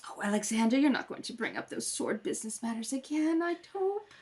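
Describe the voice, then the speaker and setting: A fluttery society matron put on by a woman in a radio play, high and swooping. She is scatterbrained and doting, with affected airs. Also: sing-song, brisk, darkish tone, slightly rough. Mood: fretful. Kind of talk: radio play acting, comedy.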